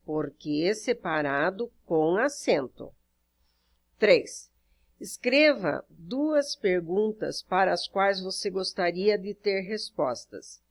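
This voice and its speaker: A woman teacher with a Brazilian Portuguese accent who reads out workbook questions and explains each answer step by step. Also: lowish voice. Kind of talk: reading out and correcting a workbook exercise.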